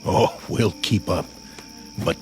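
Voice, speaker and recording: An actor playing a war-weary, blunt army sergeant in a radio drama, dry, sardonic and plain-spoken. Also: male voice, takes it slowly, a little hiss or hum, close to an everyday voice. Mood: weary, frank.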